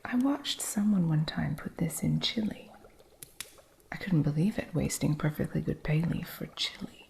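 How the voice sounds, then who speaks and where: A woman whispering as a gravelly witch shopkeeper. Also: deep voice, normal speed. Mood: gentle whisper. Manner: soft unvoiced whispering, fond and matter-of-fact. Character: eccentric woman witch running a curio shop. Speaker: ASMR roleplay performer. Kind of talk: ASMR roleplay.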